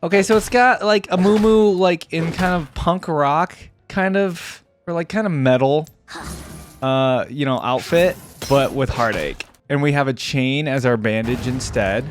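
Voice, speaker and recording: A man's voice: a little high, a little slow, slight background noise. A man doing casual reaction commentary as a video host, musing and thinking aloud in an informal way.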